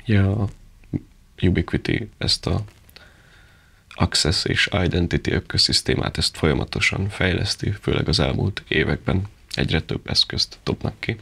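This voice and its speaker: Low, creaky man explaining products. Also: fairly bright tone. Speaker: product presenter.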